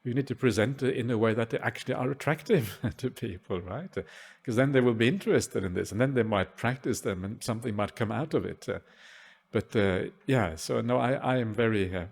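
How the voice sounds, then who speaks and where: A man, a Buddhist monk, answering questions at a meditation retreat in a gentle, halting way with many "uh" fillers. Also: mid-range voice, gravelly, slightly rough. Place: retreat hall at a forest monastery.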